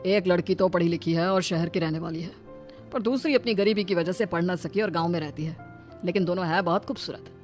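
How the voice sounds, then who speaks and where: A woman's voice: lowish voice, a little fast, a little mellow, soft background music, lightly acted. A woman voicing a genial older brother-in-law in a cartoon story, familiar and persuasive.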